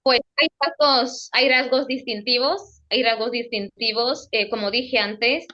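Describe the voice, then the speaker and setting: A woman's voice: fairly high pitch, steady tone, unhurried, pushed and bright, dull audio. A young woman from Equatorial Guinea with an Equatoguinean Spanish accent, explaining in a careful, academic way and often repeating or correcting herself. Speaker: guest, radio presenter.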